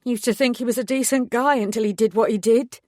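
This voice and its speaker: A woman's voice: on the high side, fairly even intonation, a little fast, a little mellow, no echo, clean studio sound, voice pushed up, natural voice. A woman voicing an effusive, chatty woman in her mid-forties who gushes and gossips. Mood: gossipy.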